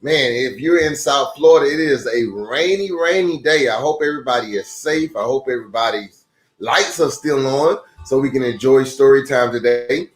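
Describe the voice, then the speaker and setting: A man hosting a children's storytime, reading aloud in an expressive style and speaking directly to his young listeners. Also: mid-range voice, moderate pace. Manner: addresses young listeners directly with rhetorical questions and exclamations. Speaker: storytime host and pastor reading a children's book. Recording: roomy echo with music underneath.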